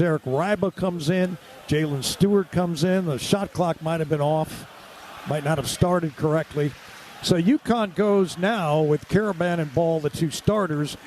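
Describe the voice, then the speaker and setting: A man's voice: on the high side, a little mellow, a little hiss or hum. A man doing live radio-style basketball play-by-play in clipped, vivid running descriptions.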